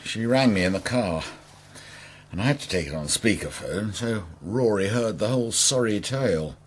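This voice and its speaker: A man with an English accent playing a wry, self-pitying older landowner with a husky voice in a radio soap. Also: fairly low pitch, animated, normal speed. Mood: weary, wry. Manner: wry, bitter.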